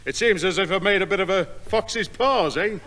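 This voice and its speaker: A man's voice: high-pitched, moderate pace, a bit bright, slight background noise. An actor playing a kindly, flustered older man who apologises and fusses, in a 1960s radio comedy. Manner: apologetic, fussing.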